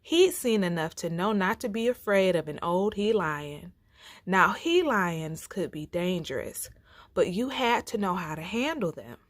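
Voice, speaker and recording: Animated, unhurried woman storyteller. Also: fairly low pitch, no room sound. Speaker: storyteller reading aloud for children. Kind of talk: expressive storybook read-aloud.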